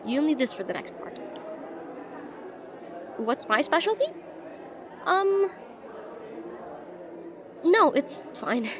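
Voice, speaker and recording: A woman playing a shy, rambling young witch student in a slice-of-life fantasy audio roleplay, chatty, hesitant and self-deprecating. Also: high voice. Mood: hesitant, musing. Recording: voice over busy classroom crowd ambience.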